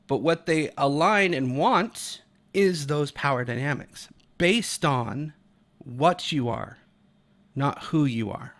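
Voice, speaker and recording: A man's voice: on the high side, lively intonation, slow pace, fairly bright tone, a little hiss or hum. An American man from Utah giving unscripted, opinionated video commentary in an emphatic, argumentative and casually profane way. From Utah, United States.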